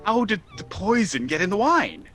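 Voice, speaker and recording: Male actor as appalled, flustered nephew for radio farce; stammering exclamations, sharp forceful voice, hugely varied pitch. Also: sing-song, moderate pace, faint music behind. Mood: incredulous. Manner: exasperated, stammering, wildly exclaiming. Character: frantic, appalled nephew discovering his aunts' crimes. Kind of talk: theatrical radio comedy acting.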